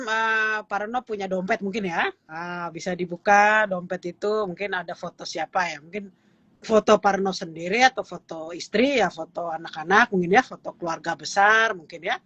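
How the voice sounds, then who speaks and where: A woman's voice: mid-range voice, a bit bright, no room sound, lo-fi sound. A woman in her thirties, a guest expert, explaining heritage in an interview with many "eh" and "ya" fillers.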